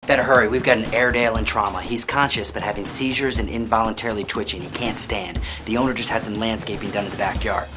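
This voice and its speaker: An actor playing a brisk, businesslike animal hospital staffer who reports cases in clipped clinical terms. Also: male voice, fairly low pitch, fairly even intonation, close to an everyday voice. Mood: brisk, urgent. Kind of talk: acted video game cutscene. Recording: muffled game audio with music behind.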